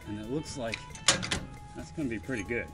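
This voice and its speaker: A man giving a casual step-by-step how-to while working on a boat trailer on his driveway, often turning away from a low camera. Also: fairly quick, over loud music. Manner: plain, practical, step-by-step explaining while working. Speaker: DIY vlogger. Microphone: camera mounted low on the ground, voice often off-mic.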